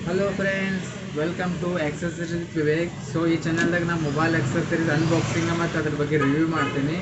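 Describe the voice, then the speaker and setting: Higher-voiced North Karnataka man, very noisy background. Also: little pitch movement, brisk. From Karnataka, India. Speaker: shop owner and product reviewer. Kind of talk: casual hands-on gadget review. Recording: loud background noise.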